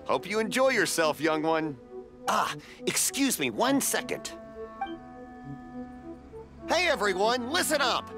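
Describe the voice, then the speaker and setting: A man's voice: sits high, very animated, takes it slowly, pauses often, over music, heavily acted. A man playing a hearty, folksy older festival stall keeper in a video game. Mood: jovial, chatty. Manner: folksy, chatty, insistent.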